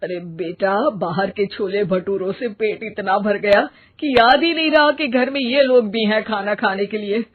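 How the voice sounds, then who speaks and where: Older Indian-story mother-in-law, played by a woman, eager and money-minded, husky, exclamatory, narrow phone-like audio. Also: medium pitch, normal speed, hardly any pauses, voice pushed down, natural voice. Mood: flustered. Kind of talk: acted Hindi moral story dialogue. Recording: muffled, phone-like narrow band.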